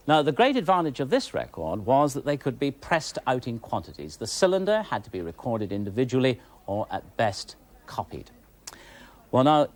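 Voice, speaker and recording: A British man presents a history programme. His mid-range voice moves with lively intonation, and he explains things in a measured, slightly wry manner. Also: medium pitch. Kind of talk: television history programme narration.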